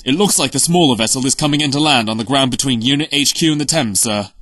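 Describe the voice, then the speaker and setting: A man's voice: mid-range voice, quick pace, fairly bright tone, no room sound, clean studio sound, lightly acted. A man playing a formal military aide in an audio drama, reporting in a clipped, dutiful way. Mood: urgent. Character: crisp male military aide reporting to the prime minister.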